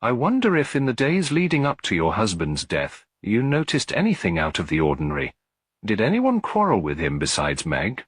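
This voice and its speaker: A man voicing a polite, gentle, inquisitive lady detective in an audiobook, deferential and softly probing. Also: fairly low pitch, wildly swooping pitch, normal speed, slightly rough, no room sound, clean studio sound, voice pushed up, full character voice. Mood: sympathetic, curious. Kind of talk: audiobook character dialogue.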